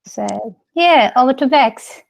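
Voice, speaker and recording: A woman from India, a technical lead, introducing herself on a video call in a measured, slightly hesitant way. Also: high-pitched, animated, creaky.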